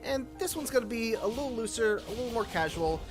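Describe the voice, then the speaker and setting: A man presenting a casual games feature, talking his impressions through in a relaxed way with frequent fillers. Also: very high-pitched, fast talker, music in the background. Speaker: games journalist.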